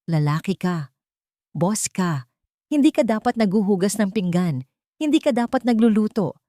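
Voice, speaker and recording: Woman acting stern, scolding old mother-in-law, animated pitch. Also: moderate pace, dry recording, studio quality, natural voice. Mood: angry scolding. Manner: sharp rhetorical questioning.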